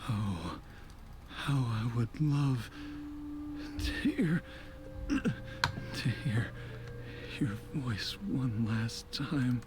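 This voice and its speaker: A dying father in a game drama, weary and wistful. He speaks very slowly and haltingly, with a wide emotional rise and fall, over faint music. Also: medium pitch, expressive. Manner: slow, halting, heartfelt. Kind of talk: scripted video game drama.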